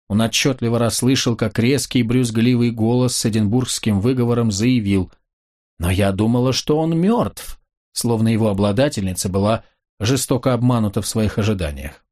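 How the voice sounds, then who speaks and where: A man narrating a novel as an audiobook in a steady, measured, wry reading. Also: mid-range voice.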